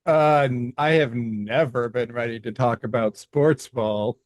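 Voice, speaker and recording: A middle-aged man co-hosting a casual podcast, speaking in halting phrases with many restarts and stammers. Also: medium pitch, dry recording. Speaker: podcast co-host. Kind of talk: casual chat.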